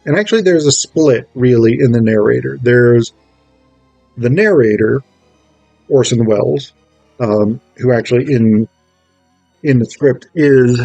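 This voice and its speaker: A man's voice: medium pitch, a little slow, vocal fry, pauses often, mellow, no room sound, muffled recording, faint music behind. A man giving a casual spoken review, enthusiastic and digressive, often thinking aloud as he goes. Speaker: amateur stage actor reviewing a play script.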